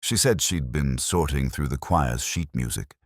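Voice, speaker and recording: A man reading a scripted first-person detective story as its narrator, in a measured, reflective, literary manner. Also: extremely low pitch, dry recording, studio quality.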